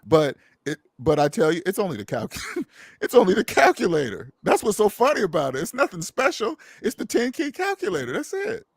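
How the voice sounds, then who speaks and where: A man's voice: sits high, huge pitch swings, a little fast, breathy edge, dry recording, clean studio sound. A man hosting a casual conversation, speaking emphatically and preachily, repeating phrases for stress. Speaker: podcast host.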